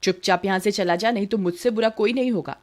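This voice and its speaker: A woman's voice: mid-range voice, fast talker, natural voice. A woman voicing a hot-tempered young village woman, secretly a witch, in a cartoon story. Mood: angry, scornful.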